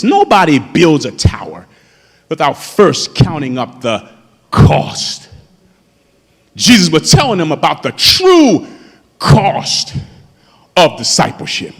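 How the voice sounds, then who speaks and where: A man's voice: high voice, fairly bright tone. A man in his fifties preaching a sermon as pastor, calling out to his congregation with fervor. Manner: impassioned preaching, calls out to the congregation. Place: church sanctuary. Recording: long hall reverb in a church.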